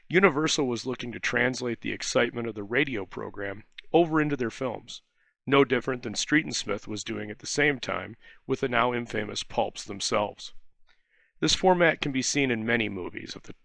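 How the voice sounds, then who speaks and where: A man's voice: medium pitch, moderate pace, vocal fry, a bit bright, no room sound, poor audio. A man giving a scripted introduction as a presenter of vintage films.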